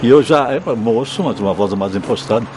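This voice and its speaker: A man's voice: medium pitch, fairly quick, noisy background. An older man, a former radio drama actor, reminiscing in an interview. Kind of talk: nostalgic interview recollection.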